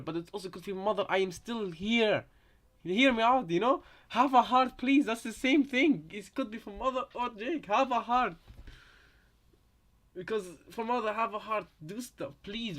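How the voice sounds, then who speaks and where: A man with a somewhat high voice gives casual fan commentary. He thinks aloud, circling back over his phrases hesitantly. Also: fairly bright tone. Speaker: reactor, fan theorist.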